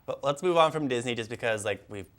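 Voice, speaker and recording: A young man chats casually as co-host of a film show. His pitch moves around a lot, and he speaks haltingly, often stammering and repeating words while he works out his point. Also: animated, a bit bright. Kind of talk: casual chat.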